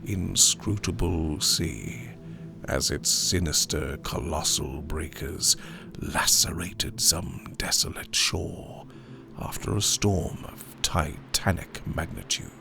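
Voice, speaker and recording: A man performing a haunted survivor in a dramatic gothic horror audiobook, grave and theatrical, with hushed near-whispered phrases. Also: low voice, slow, creaky, heavily acted. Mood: uneasy, intense. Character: haunted male survivor of an opium overdose, recounting horror. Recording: studio narration over music.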